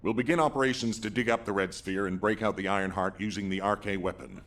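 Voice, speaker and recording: An actor playing a composed, authoritative military commander in a video game, giving measured orders. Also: male voice, fairly bright tone. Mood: commanding, confident. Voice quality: husky, deep. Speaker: actor voicing a game character. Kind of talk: scripted game dialogue.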